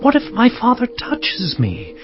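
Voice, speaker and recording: A man's voice: very high voice, huge pitch swings, unhurried, heavily acted. A man playing a worried, hesitant younger son in a dramatized scripture, protesting anxiously. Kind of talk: dramatized audio scripture acting. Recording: dull muffled audio with music.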